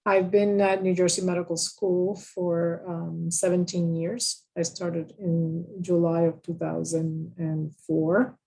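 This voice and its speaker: A woman in her fifties from the Dominican Republic, a physician, introduces herself in a matter-of-fact way. Her voice is low, husky and mellow. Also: level tone, takes it slowly, few pauses, poor audio. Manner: plain, matter-of-fact with fillers. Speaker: physician, associate professor. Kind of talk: self-introduction on a panel.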